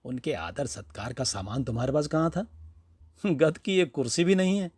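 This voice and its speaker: A man narrating a story in an expressive, conversational way, dramatizing rhetorical questions as he goes. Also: a little high, normal speed, slightly rough, no echo, studio quality. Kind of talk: expressive audiobook-style storytelling.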